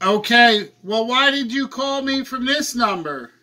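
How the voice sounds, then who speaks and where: A man putting on a confused, hard-of-hearing old man on a prank call, slow and insistent, with a strained raised voice. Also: extremely high pitch, expressive, sharp tone, exaggerated voice. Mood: befuddled. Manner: slow, insistent, repeating himself. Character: confused, hard-of-hearing old man fretting about his benefit check. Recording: home room with some background noise.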